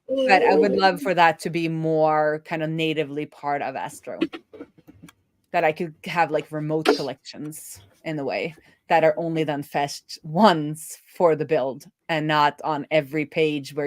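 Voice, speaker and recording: A woman's voice: low voice, noisy background. A woman hosting a casual live coding stream, thinking aloud as she explains technical things informally. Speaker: live-streaming web developer and host.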